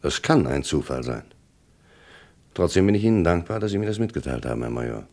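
A man's voice: rumbling low, sing-song, a little fast, a little mellow, heavily acted. A man playing a persistent, probing police commissioner in a radio crime drama, questioning formally and insistently. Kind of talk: German radio play dialogue acting.

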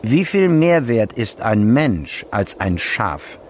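Commanding scriptural preacher, radio play. Declamatory, very measured, highly varied intonation, slight background noise. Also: male voice, big pitch swings. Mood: firm, rebuking. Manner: deliberate, emphatic, declamatory. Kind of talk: radio drama scripture recitation.

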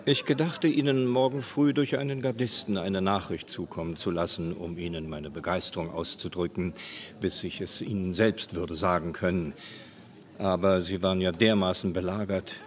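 Man playing a low-voiced, ceremonious general. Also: steady tone, moderate pace, natural voice. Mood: courteous, assured. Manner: formal, ceremonious. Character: formal, courteous, self-assured general and state official. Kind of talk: German radio play acting. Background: faint crowd murmur.

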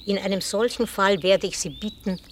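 An actress playing a composed, quietly menacing older housekeeper in a 1960s radio play, speaking with formal, controlled politeness. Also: female voice, medium pitch, steady tone, normal speed, lightly acted. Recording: hissy 1960 radio play recording.

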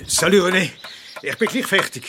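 An actor playing a grave, idealistic middle-aged Alsatian doctor with a husky voice, in a German radio drama. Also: male voice, high-pitched, animated, normal speed, heavy background noise. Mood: friendly.

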